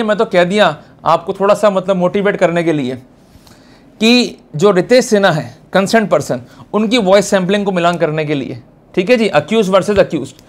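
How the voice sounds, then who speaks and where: High-pitched Indian man lecturing emphatically. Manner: emphatic, addresses listeners directly with checking questions. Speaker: lawyer giving law lectures. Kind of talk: informal explanatory lecture.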